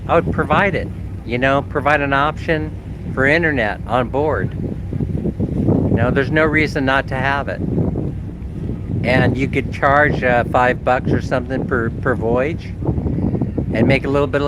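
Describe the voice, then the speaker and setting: A man with a slight cold talks in a loose, joking way to his live-stream audience, his voice a little nasal. He holds the phone at arm's length on an open ferry deck. Heavy wind and the drone of the ship's engine sit loudly under his voice. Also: medium pitch. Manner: chatty, self-mocking, with frequent restarts. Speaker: vlogger hosting a live stream. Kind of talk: casual live-stream chat. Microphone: phone held at arm's length. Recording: loud wind and boat noise. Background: wind and ship engine.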